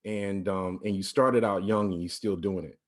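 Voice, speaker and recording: A man's voice: sits low, fairly even intonation, normal speed. A man hosting a casual interview, rambling and repeating himself as he encourages his young guests. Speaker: host. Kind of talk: casual church-music interview.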